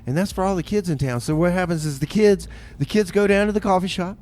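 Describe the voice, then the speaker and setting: Easygoing, higher-voiced radio DJ. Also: male voice, fast talker, darkish tone. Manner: easygoing, chuckling, amused by his co-host. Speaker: radio DJ and host. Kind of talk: casual radio chat and announcements. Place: community radio studio.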